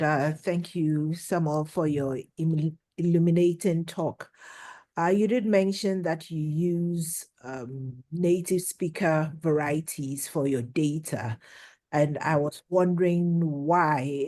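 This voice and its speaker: Slow, low-voiced woman. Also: warm tone. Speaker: attendee asking a question. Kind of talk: asking a question in a webinar. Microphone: video call.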